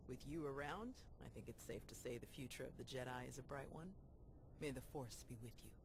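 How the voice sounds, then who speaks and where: A resolute female warrior-monk from a video game, earnest and humble. She speaks in measured, solemn lines over a clearly noisy capture. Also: a bass voice. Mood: warm, hopeful.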